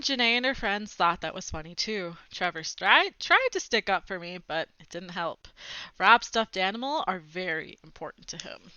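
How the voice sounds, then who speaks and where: Woman storyteller, bright forceful voice, very lively pitch, expressive children's read-aloud, slightly dull lo-fi sound. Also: clean tone, dry recording. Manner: animated, dramatizing dialogue, commenting to young listeners.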